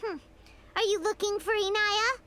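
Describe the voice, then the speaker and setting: A woman voicing a small, childlike fairy companion in a video game, chirpy, opinionated and expressive. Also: normal speed, slight background noise. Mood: surprised. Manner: squeaky, expressive, exclaiming.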